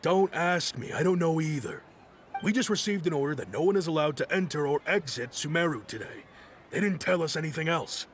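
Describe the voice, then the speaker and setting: A man's voice: mid-range voice, a little hiss or hum, lightly acted. A man playing a jaded, irritable city gate guard in a video game, curt and exasperated in his delivery. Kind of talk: animated video game voice acting.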